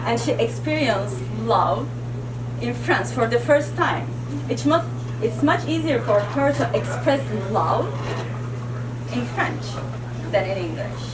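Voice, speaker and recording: Gal chatting thru a science talk, lots of "like," echoey hall, mic sounds far away. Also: female voice, fairly high pitch, background noise. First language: Turkish. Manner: casual, chatty, frequent "like" fillers. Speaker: science slam presenter. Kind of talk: live science talk with puppets. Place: stage in a hall at a live event.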